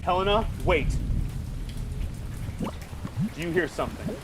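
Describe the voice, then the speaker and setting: A man's voice: high-pitched, lively intonation, measured pace, heavy background noise. A man playing a frightened, defensive, trigger-happy husband in a melodramatic radio play, agitated and pleading. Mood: uneasy.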